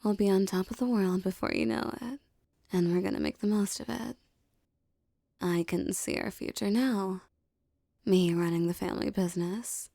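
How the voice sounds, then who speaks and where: A tough young mafia boss girlfriend acted by a woman in an ASMR romance roleplay. Her voice is dark and mellow with a gravelly fry, recorded close and dry. She talks intimately, mixing slangy toughness with doting, teasing tenderness. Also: mid-range voice, dry recording. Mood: dreamy, assured.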